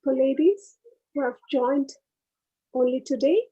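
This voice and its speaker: Slow, high-voiced woman guiding meditation. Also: steady tone, highs cut off. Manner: unhurried, short pause-separated phrases. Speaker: meditation guide. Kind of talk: guided meditation.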